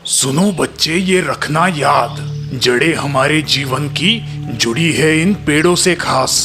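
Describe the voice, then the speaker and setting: A man voicing an old forest holy man, grave and admonishing, with a solemn, preachy delivery. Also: fairly high pitch. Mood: stern, admonishing. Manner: solemn, preachy, sometimes slow and verse-like. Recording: music behind the voice.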